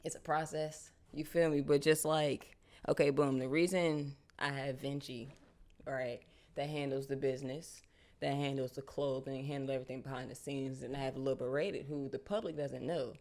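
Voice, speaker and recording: A young woman from Charlotte, a guest artist talking casually in an interview, animated and full of slang. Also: extremely low pitch, moderate pace. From United States, Charlotte. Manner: animated, casual slang, frequent "you feel me".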